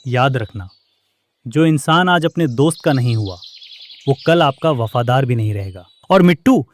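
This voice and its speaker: A man's voice: mid-range voice, expressive, normal speed, a little hiss or hum, close to an everyday voice. A man voicing an honest, simple young sparrow in a cartoon story, earnest and emotional. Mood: hurt, reproachful. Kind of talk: Hindi cartoon story dialogue.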